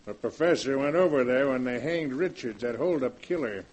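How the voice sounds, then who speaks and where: An actor playing a rural sheriff in a 1940s radio drama, with drawling, colloquial small-town speech. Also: male voice, mid-range voice, animated, moderate pace, darkish tone, natural voice, low-quality recording. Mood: folksy. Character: folksy rural male sheriff.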